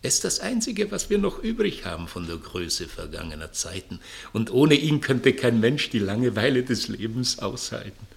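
An actor playing a cynical, scheming master who philosophizes grandly about death in a theatrical, sardonic radio play style. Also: male voice, mid-range voice, big pitch swings, moderate pace, creaky, hardly any pauses. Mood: musing, morbid. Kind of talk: 1960s German radio play acting.

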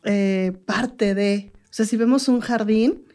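A woman's voice: medium pitch, fairly even intonation, slow pace, mellow, faint music behind. A woman with a Mexican accent, explaining ideas conversationally as the host of a radio interview.

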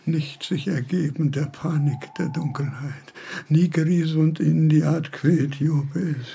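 An actor playing a frail, confused, very old philosophy professor in a radio drama, speaking haltingly in broken, repeated phrases. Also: male voice, a little high, steady tone, warm tone, full character voice. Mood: confused, agitated. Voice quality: creaky, frail. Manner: halting, repetitive, fragmentary phrasing.

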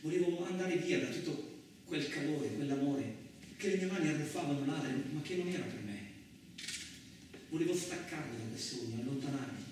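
A bewildered, rough-spoken truck driver played by a male actor tells his ordeal in a radio drama. His fairly high voice is halting and colloquial, given to repeating itself. It comes through very echoey and from a distance. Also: close to an everyday voice. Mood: dreamy, anguished. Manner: halting, repetitive, colloquial, with agitated outbursts. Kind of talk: theatrical dramatic monologue for radio. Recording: very echoey, distant, clearly noisy recording.

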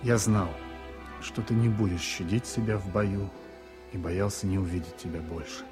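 A man playing a soldier comrade in a radio play, with a fairly low voice. He is earnest, gentle and measured, reflective and loyal, with music playing behind him. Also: fairly even intonation, close to an everyday voice. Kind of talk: radio drama acting and reflective narration.

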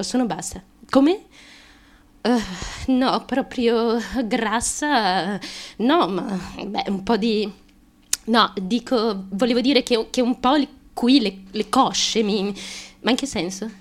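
Casting: insecure young female hopeful for radio theatre, high voice, slow halting delivery, desperate to please, nervy stammer. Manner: halting, stammering, nervous laughter. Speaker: stage actress.

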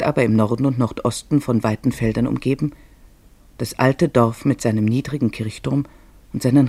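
A man's voice: mid-range voice, warm tone, husky, dry recording. A man narrating a literary radio play in a scripted storytelling style.

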